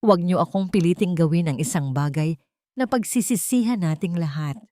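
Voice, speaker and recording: A woman's voice: on the low side, expressive, a little fast, warm tone, no echo, studio quality, close to an everyday voice. A woman voicing a cornered, greedy male executive who pleads and threatens. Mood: desperate.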